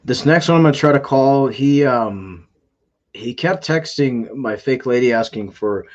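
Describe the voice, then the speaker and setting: A man hosting a casual live stream, talking in a halting way with frequent filler sounds. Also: mid-range voice, expressive, darkish tone, lo-fi sound. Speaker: scam-baiting live streamer.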